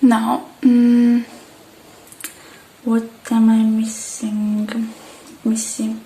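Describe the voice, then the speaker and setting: A woman speaking softly and gently in a breathy, partly whispered ASMR roleplay, murmuring to the listener. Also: fairly high pitch, completely flat delivery, very slow, slight background noise. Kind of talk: soft-spoken ASMR roleplay as a technician tending a robot.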